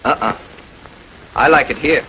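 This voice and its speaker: An actor playing a sardonic, smooth-talking man in a 1940s American TV crime drama, with dry, mock-affectionate sarcasm. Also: mid-range voice, measured pace, pushed and bright. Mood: smug. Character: sardonic, smooth-talking man, coolly needling his lover. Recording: dull, noisy 1940s film soundtrack.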